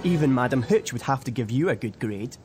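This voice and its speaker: An actor playing a keen, upbeat British teenage team captain in a video game, coaching heartily. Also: male voice, mid-range voice, expressive, a little fast, over loud music, natural voice. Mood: pleased, encouraging. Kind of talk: video game cutscene acting.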